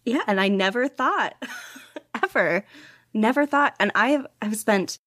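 A woman guest chatting candidly in an interview, rambling and self-deprecating with quick laughs. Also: on the high side, lively intonation, fairly bright tone, no echo, studio quality. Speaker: podcast guest, podfic reader. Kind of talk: casual interview chat.

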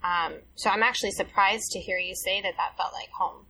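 Breathy, bright-voiced woman asking questions. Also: mid-range voice, steady tone, fairly quick, recorded from a distance, low-quality recording. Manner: curious, probing. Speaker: host. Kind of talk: interview questions.

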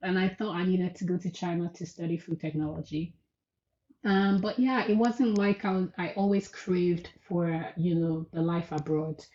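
A woman in her thirties from Nigeria, a podcast guest who tells her story in a casual, animated way and laughs often. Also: on the low side, moderate pace, dull audio. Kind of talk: casual interview chat.

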